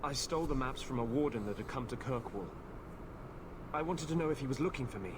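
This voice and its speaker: A man's voice: medium pitch, animated, fast talker, natural voice. A man playing a guarded, earnest renegade mage in a fantasy game, with a serious way of talking. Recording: game audio, noisy outdoor ambience.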